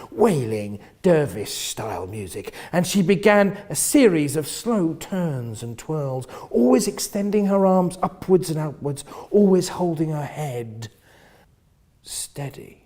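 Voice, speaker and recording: A man narrates a novel in a husky, fairly high voice. His delivery is theatrical and expressive, with wide swings in pitch and phrases that sink into a hush. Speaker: reader of a novel. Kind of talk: dramatic first-person literary narration.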